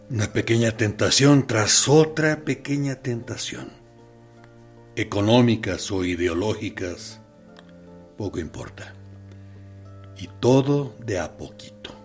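An actor playing a male torturer captain in a radio drama, measured and defensive, justifying himself with quiet intensity. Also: medium pitch.

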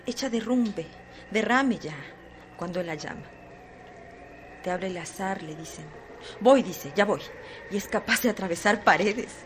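A woman's voice: medium pitch, unhurried, pauses often. A woman giving dramatic scripted narration for a radio drama. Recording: noisy background ambience.

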